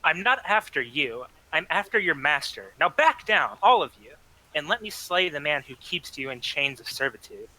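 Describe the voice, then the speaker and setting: A man's voice: on the high side, very animated, normal speed, sharp tone, exaggerated voice, rough recording. A man playing an ageless, defiant vampire assassin in a table read, theatrical and formal. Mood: menacing, defiant. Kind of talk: scripted drama table read.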